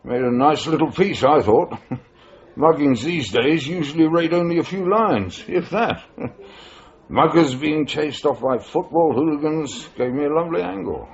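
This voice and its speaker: An actor playing a sly, self-satisfied British freelance journalist in a 1980s radio drama, evasive in manner. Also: male voice, a little high, lively intonation, a little slow, gravelly. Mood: smug, amused. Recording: dull, band-limited radio broadcast.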